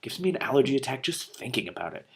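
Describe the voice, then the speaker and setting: A man's voice: medium pitch, big pitch swings, quick pace, creaky, husky, voice pushed up, heavily acted. A man voicing an indignant, blustering elderly male dragon from a storybook, given to old-fashioned exclamations. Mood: surprised, emphatic.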